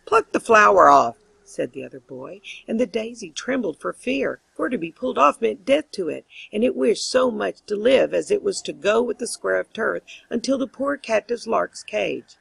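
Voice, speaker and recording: Female storybook reader, heavy creak, very lively intonation, measured delivery. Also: medium pitch, dry recording, low-quality recording. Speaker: audiobook reader. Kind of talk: scripted storybook narration of a fairy tale.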